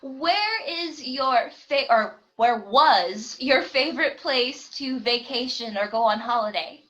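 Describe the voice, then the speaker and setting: Bubbly high-voiced woman host. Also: lively intonation, slow pace, fairly bright tone, dull audio. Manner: bubbly, laughs often. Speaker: host and English teacher. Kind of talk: casual podcast lesson chat.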